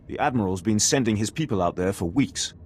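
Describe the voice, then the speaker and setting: A man's voice: lowish voice, expressive, fairly quick, slight background noise, natural voice. An actor playing a stern, dignified aristocratic male alien base commander in a video game. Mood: grave, measured.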